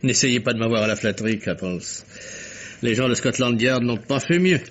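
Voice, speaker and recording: A man's voice: medium pitch, normal speed, slight background noise, lightly acted. An actor playing a world-weary, evasive reporter in his thirties in an old French radio drama, gloomy and guarded. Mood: bitter. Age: 30s. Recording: dull vintage radio recording.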